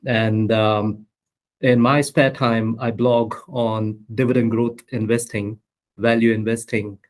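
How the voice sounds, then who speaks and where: A man in his thirties from the United States, guesting on a podcast. He talks in a measured way, often pausing on "um". His voice sits at an ordinary middle pitch. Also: level tone. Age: 30s. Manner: measured, self-introducing with fillers. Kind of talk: casual interview.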